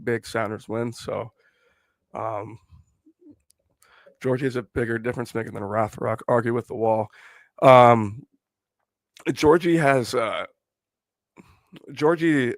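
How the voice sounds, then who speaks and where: A hoarse young man who has lost his voice while sick, rambling opinions on a casual live stream. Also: medium pitch, dry recording. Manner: rambling, opinionated, filler-heavy. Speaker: fan channel host.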